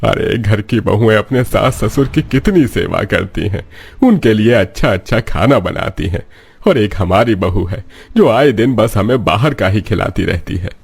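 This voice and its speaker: A man voicing a gentle, concerned elderly father-in-law in a cartoon story, earnest in manner. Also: on the low side, animated, moderate pace, vocal fry, slightly rough, heavily acted. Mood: disapproving.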